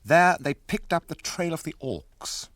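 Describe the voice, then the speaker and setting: An actor narrating an epic radio drama as a grave storyteller. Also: male voice, fairly high pitch, lively intonation, unhurried, fairly bright tone, no room sound, studio quality.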